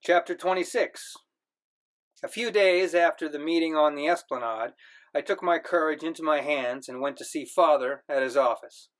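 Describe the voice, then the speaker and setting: A man reading a novel aloud in a measured, earnest first-person voice. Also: fairly high pitch, poor audio. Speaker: audiobook reader.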